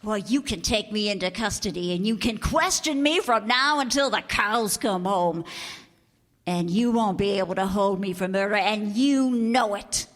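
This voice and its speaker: A woman's voice: mid-range voice, animated, moderate pace, big-room sound, lightly acted. A woman acting a brassy, defiant con artist in an old-time radio detective drama, slangy and biting. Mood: smug. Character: brassy, scornful female con artist and palm reader.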